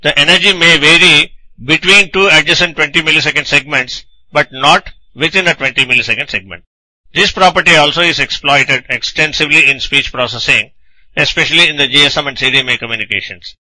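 A man's voice: on the high side, fairly quick, pushed and bright, rough recording. A man narrating a scripted technical lecture in a didactic manner, posing questions and then answering them.

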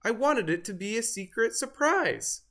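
Narrator doing excitable storybook turkey, gobbly and wailing, bright voice w/ big swoopy pitch. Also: normal speed, exaggerated voice. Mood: moaning, disappointed. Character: excitable turkey, dramatically dejected when found out.

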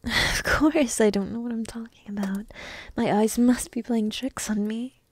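A woman playing a young dragon princess in an ASMR roleplay, coy, teasing and lovestruck, half-whispering in a soft, intimate, breathy way. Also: a little high, fairly even intonation, measured pace, no room sound. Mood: playful teasing.

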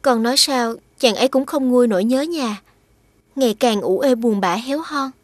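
A woman voicing a devoted young fairy wife in an animated fairy tale, gentle and formal in her phrasing. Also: on the high side, normal speed, lightly acted. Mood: sorrowful, pleading.

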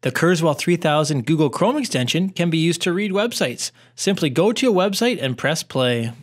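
A man presenting a scripted tutorial in a clear, instructional way. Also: on the high side, expressive, normal speed. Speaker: tutorial presenter, educational technologist.

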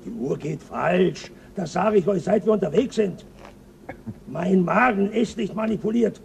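A man's voice: high voice, a little slow, vocal fry, a little hiss or hum. An actor playing a crude, quarrelsome spaceship crewman who taunts the others in a German radio drama. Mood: surly. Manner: coarse, taunting, aggressive.

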